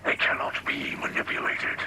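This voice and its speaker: A man playing a solemn, wise alien mystic leader in a video game, speaking in a breathy, rasping whisper with measured, foreboding gravity. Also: sharp tone, slight background noise. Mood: grave, worried. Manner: slow, measured, portentous delivery. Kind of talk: scripted video game dialogue.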